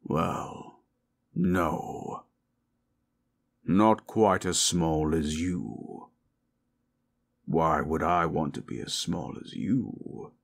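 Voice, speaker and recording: A man voicing a proud, possessive forest monster in human shape, gravelly and husky, speaking in stilted, imperious phrases for an audio roleplay. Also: very deep voice, heavily acted. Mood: curious, teasing. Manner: slow, imperious, formal. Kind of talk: dramatic audio roleplay, stilted formal speech.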